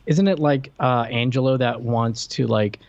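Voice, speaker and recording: A man co-hosting a podcast, retelling and picking at films in an animated, casual chat. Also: medium pitch, normal speed, gravelly, dry recording. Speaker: podcast co-host. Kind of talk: casual movie discussion chat. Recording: slightly dull, lo-fi voice track.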